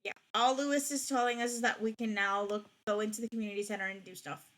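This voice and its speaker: A woman's voice: medium pitch, steady tone, fast talker, fairly bright tone. A young woman chatting casually while playing a game, rambling with frequent restarts. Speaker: streamer. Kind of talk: casual gaming chat.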